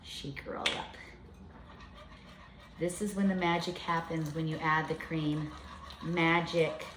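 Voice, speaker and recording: A woman's voice: deep voice, steady tone, slow, a bit bright, roomy sound, a little hiss or hum. A woman in her fifties giving a chatty, step-by-step home cooking demonstration in her kitchen. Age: 50s.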